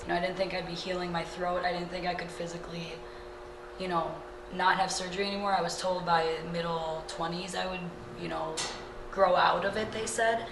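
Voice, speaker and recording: A raspy eighteen-year-old woman, a Reiki student, earnestly and enthusiastically answering interview questions. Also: on the low side, flat delivery, brisk. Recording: loud noisy echoey room.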